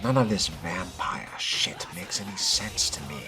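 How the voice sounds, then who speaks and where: A man role-playing a sardonic, skeptical fledgling vampire in a video game, with a dry, deliberate delivery. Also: deep voice. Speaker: player voicing his game character. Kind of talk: role-play dubbing of game dialogue. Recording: background music under the voice.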